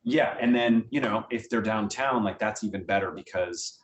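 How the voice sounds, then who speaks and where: A man answering a group's questions in a conversational, enthusiastic way, as a guest theater director. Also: moderate pace. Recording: roomy, distant mic, faint rumbling noise.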